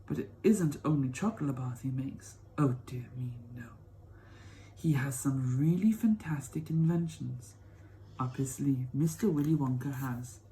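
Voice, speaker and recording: Excitable elderly grandfather for a storybook, wonder-struck, emphatic, relishing every detail; played by a male reader. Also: a little high, natural voice. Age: older. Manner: exclamatory, emphatic, savoring each detail. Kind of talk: children's storybook read-aloud.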